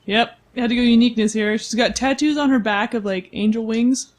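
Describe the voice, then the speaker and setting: A woman's voice: on the high side, a little fast. A woman casually describing her character as a player on a tabletop game podcast, wry and playful.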